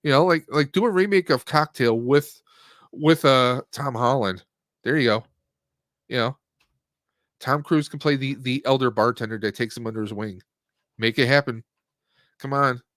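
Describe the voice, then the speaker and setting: A man's voice: medium pitch, moderate pace, long pauses, a bit bright, no room sound, studio quality. A man hosting a live stream, giving a casual, rambling, jokey movie review.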